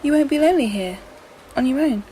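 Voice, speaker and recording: A woman's voice: sits high, expressive, a little fast, mellow. A woman playing a polite, curious young systems geek with a precise, eager manner in an audio drama. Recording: noisy background.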